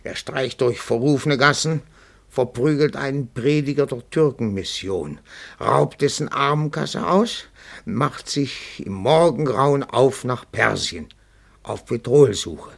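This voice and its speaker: An actor playing a timid yet nosy, pedantic petit-bourgeois detective in a radio play, speaking in a meticulous, florid, deferential way. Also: male voice, mid-range voice, slow pace, vocal fry. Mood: excited, theatrical. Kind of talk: German radio play dialogue.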